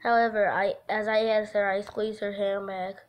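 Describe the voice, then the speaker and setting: A woman's voice: medium pitch, level tone, normal speed. A giggly woman hosting a casual game livestream, chatting and reading aloud from a visual novel. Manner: giggly, breaks off into laughter. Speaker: livestream host.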